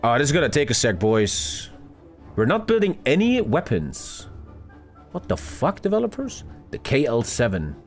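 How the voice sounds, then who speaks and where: Loud swearing man with wildly jumping pitch. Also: wildly swooping pitch, normal speed. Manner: loud, swearing, jumpy rants to chat. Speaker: streamer. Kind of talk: live game stream commentary. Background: game music.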